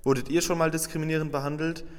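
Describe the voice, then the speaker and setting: A man's voice: a little high, level tone, quick pace, clear, resonant tone, big-room sound. A young man, a student interviewer, reading out scripted questions plainly.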